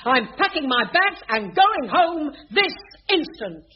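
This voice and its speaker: A woman's voice: high voice, sing-song, slow pace, loud music behind the voice, heavily acted. A woman acting a prim, scandalised sister in a 1980s British radio sitcom, scolding with outraged indignation. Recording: dull, very noisy old radio recording.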